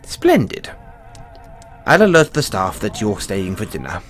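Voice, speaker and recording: A man voicing a refined male playwright in a one-sided audio roleplay, speaking in a theatrical, drawn-out way and dropping low on each "dear boy". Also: huge pitch swings, a bit bright, soft background music, exaggerated voice. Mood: genial.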